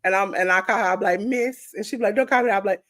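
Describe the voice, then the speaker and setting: A woman's voice: mid-range voice, quick pace, fairly bright tone. A woman in her forties teasing a friend in a casual livestream chat. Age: 40s. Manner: teasing, laughing.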